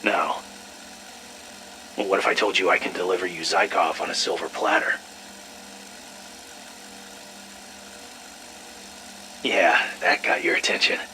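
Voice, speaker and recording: An actor playing an irritable, slippery scientist, sarcastic and foul-mouthed, in a video game audio log. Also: male voice, sits low, fairly even intonation, normal speed, sharp tone, slight background noise. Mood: sly, pressing. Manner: sarcastic, blustering, swearing.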